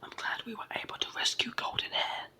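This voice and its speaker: A storyteller voicing a cheerful, polite little male brownie from a children's book in a raspy whisper. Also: a little fast, sharp tone. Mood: practical.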